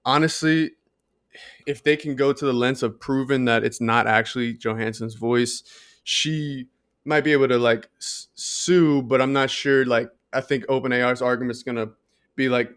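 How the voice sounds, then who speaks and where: A man's voice: mid-range voice, fairly even intonation, normal speed, a bit bright, clean tone, dry recording, studio quality. A man co-hosting a podcast in a casual, conversational style, padding his thoughts with filler phrases. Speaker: podcast co-host. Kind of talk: casual chat.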